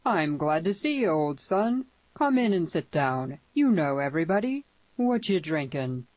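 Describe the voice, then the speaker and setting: A woman's voice: fairly low pitch, animated, unhurried, darkish tone, no echo, dull audio. A woman voicing a hearty, folksy ranch father in an audiobook Western, emphatic. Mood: hearty welcome. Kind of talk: dramatised audiobook dialogue in Western dialect.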